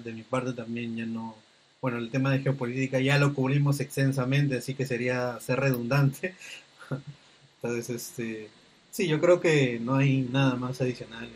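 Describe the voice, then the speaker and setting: A man's voice: medium pitch, slightly rough, low-quality recording. Chatty male podcast host. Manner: conversational, tag questions "¿no?", fillers "este". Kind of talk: casual podcast interview.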